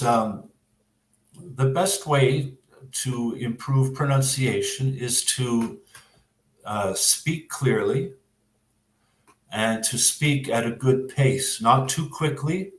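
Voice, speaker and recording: A man with a Canadian accent explaining exam advice as an English teacher, in a measured way with frequent hesitant pauses. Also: medium pitch. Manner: measured, hesitant, pausing often. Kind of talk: explanatory interview answers. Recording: roomy, echoing sound.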